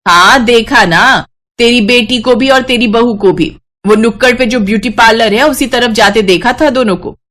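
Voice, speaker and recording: A woman's voice: a little high, normal speed, fairly bright tone, natural voice. Woman putting on a gossiping, mocking neighbour. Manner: needling, mock-friendly. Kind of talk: Hindi animated moral-story voice acting.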